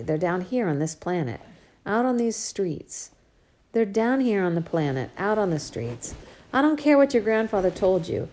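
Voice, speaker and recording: A woman's voice: medium pitch, darkish tone, pitched above the performer's own voice, natural voice. A woman reading a loving but stern middle-aged father in an audiobook, scolding then tender, with folksy slang. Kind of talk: audiobook character voice.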